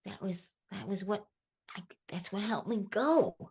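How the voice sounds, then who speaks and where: A woman's voice: medium pitch, a little slow, darkish tone, breathy edge. A woman in an improv scene playing a glum toy missing her beret, sighing and dropping French words. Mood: mopey. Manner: mopey, sprinkles French words.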